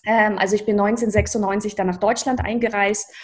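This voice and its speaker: A woman's voice: mid-range voice, fast. A woman in her thirties whose first language is Russian, who grew up in Russia, telling her life story as an interview guest with many fillers and restarts. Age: 30s. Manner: lively, reflective, frequent fillers and restarts. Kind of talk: personal storytelling in a talk.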